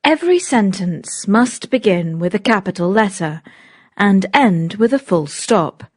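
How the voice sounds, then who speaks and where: A woman's voice: mid-range voice, unhurried. A woman narrating a vocabulary lesson, reading a word list one item at a time. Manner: reads each word on its own, with lively intonation.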